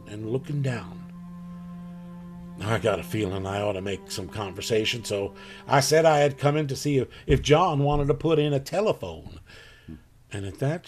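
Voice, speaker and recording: A man playing a halting, plain-spoken farmer. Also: medium pitch. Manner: halting, repeats words. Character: plain hesitant male farmer telling what he saw. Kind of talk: live radio play acting. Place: home closet or desk. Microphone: home mic over video call.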